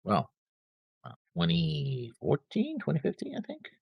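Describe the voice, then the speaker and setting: A man's voice: fairly high pitch, huge pitch swings, a little slow, vocal fry, slightly rough, dry recording, highs cut off. A man chatting casually as a reaction show co-host, agreeing and repeating his words as he goes. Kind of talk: casual chat, discussing an anime episode.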